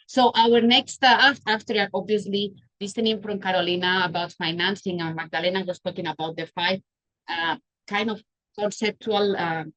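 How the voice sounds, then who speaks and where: A woman's voice: mid-range voice, fairly even intonation, moderate pace, fairly bright tone, low-quality recording. A woman moderating an online panel discussion, speaking with many fillers and restarts over a video call.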